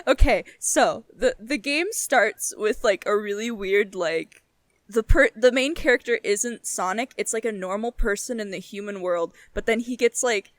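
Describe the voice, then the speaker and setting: A young woman co-hosting a casual chat, giggly and sweary, telling things in halting bursts full of "like". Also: mid-range voice, no echo. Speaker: podcast co-host. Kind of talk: casual chat, retelling a game.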